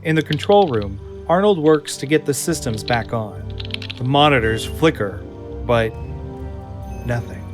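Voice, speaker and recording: A man narrating an audio drama from a script in a deliberate, suspenseful manner. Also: mid-range voice, expressive, over music.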